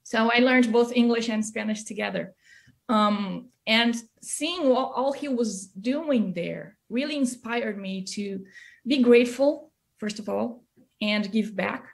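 A woman's voice: fairly high pitch, slow, vocal fry. A woman from Brazil with a Brazilian accent, a native Portuguese speaker, talking conversationally as an interview guest on a video call. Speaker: interview guest, music educator. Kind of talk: conversational personal storytelling. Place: small indoor room, video call. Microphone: computer mic on video call.

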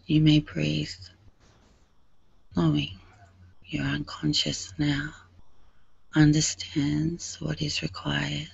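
Woman doing guided meditation, real slow n hushed, gravelly low-ish voice, bit muffled lo-fi. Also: completely flat delivery, no room sound. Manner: soothing, hushed, breathy delivery with long pauses.

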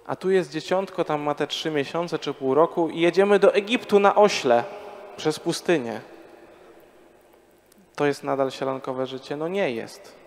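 A man's voice: fairly high pitch, normal speed. A man in his thirties, a priest, preaching a parish sermon to a congregation in a conversational way, with rhetorical questions, on a handheld microphone. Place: large church before a congregation. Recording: long church reverb.

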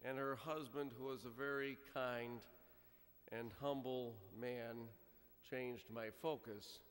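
A man's voice: medium pitch, fairly even intonation, takes it slowly. A middle-aged man, a priest, giving a conversational sermon from the pulpit, telling stories with frequent fillers. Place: large church, speaking from the pulpit. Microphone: gooseneck pulpit microphone. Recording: church reverb from a fixed camera.

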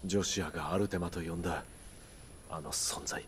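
An actor playing a brooding, terse mercenary hero in a Japanese video game, serious and restrained. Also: male voice, fairly even intonation, brisk, noisy background, natural voice. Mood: somber resolve. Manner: low-key, serious, restrained.

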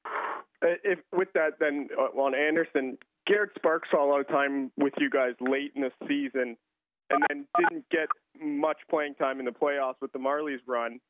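A man's voice: a little high, fairly even intonation, moderate pace. Male reporter on a thin phone line. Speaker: sports reporter. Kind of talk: asking questions on a press call. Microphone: telephone. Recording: dry, narrow phone line.

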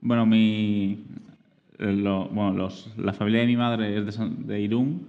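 A man in his twenties from Spain answers as a guest chess player, soft-spoken and hesitant, with short replies in a lowish voice. He speaks into a table mic in a small lecture hall. The sound is dull with a long reverb. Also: flat delivery, a little slow. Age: 20s. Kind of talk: live public interview.

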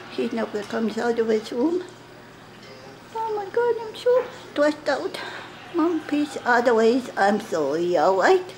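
A woman's voice: fairly high pitch, darkish tone, a little hiss or hum, low-quality recording. Woman voicing a scared pleading pet dog. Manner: childlike, whiny, pleading baby talk. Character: anxious young male dog, scared and pleading. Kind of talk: pet voice-over monologue.

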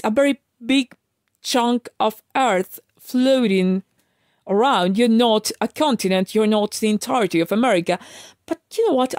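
A woman's voice: on the high side, darkish tone, no echo. Emphatic ranting woman, dry close voice. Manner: emphatic, lecturing, frequent restarts and fillers. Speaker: vlogger. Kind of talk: casual ranting monologue.

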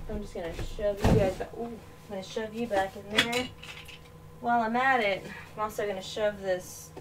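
A woman's voice: mid-range voice, noisy background. A woman in her thirties with a slight Arkansas accent, chatting casually on a livestream while tidying her bedroom, rambling and making fun of herself, often moving away from the webcam mic. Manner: rambling, self-mocking, talks while moving things around. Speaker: livestreaming doll collector. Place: small bedroom full of dolls. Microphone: webcam mic, often off-mic moving around.

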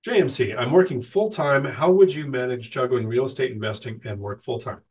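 A man's voice: medium pitch, a little fast, darkish tone, distant mic. A middle-aged man with a sick, nasal voice, casually answering live chat questions as host, with frequent ums and restarts.

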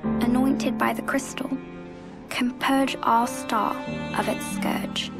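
High-voiced gentle young oracle. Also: female voice, level tone, slow pace, creaky, music in the background. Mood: gentle, earnest. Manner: formal, soft, earnest. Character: gentle, earnest young female oracle of royal bearing. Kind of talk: video game cutscene acting.

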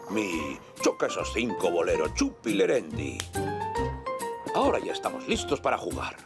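Creaky, deep-voiced cartoon grandfather. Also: wildly swooping pitch, moderate pace, over loud music, exaggerated voice. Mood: cheerful, proud. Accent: Castilian Spanish. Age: older. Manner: gentle, patient, encouraging. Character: warm, encouraging old grandfather in a preschool cartoon. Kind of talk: animated children's cartoon dubbing.

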